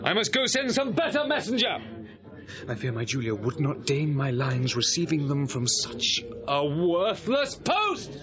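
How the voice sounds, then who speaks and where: Casting: earnest lovelorn young gentleman, classical stage verse, highish lively voice, quick to exasperation. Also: lo-fi sound, crowd noise. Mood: impatient. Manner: theatrical, declamatory. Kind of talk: classical Shakespearean audio drama acting.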